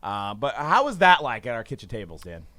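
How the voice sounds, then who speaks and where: A man's voice: mid-range voice, sing-song, a little fast, sharp tone, no room sound. A man co-hosting a casual hobby podcast from a studio, upbeat and laughing easily, with frequent filler sounds. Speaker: podcast co-host.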